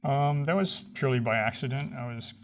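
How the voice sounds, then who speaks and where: A man being interviewed as a stunt coordinator, answering in a relaxed, rambling way with many fillers. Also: mid-range voice, fairly quick.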